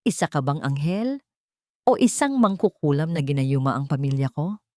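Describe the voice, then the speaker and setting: Female narrator as intense brooding man, guarded boss, romance audiobook, slightly low pitch, dry recording. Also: moderate pace, mellow, no echo, lightly acted. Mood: intimate, questioning.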